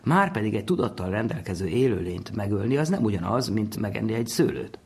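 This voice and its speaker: A man's voice: lowish voice, expressive, darkish tone, slightly rough. A man giving an informal, conversational explanation as an expert, laying out his points step by step. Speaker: expert commentator.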